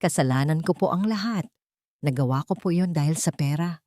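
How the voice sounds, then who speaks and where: A woman's voice: sits low, fairly quick, mellow, dry recording, studio quality, close to an everyday voice. A woman voicing a tearful, pleading woman making a confession in an audio drama. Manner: pleading, contrite.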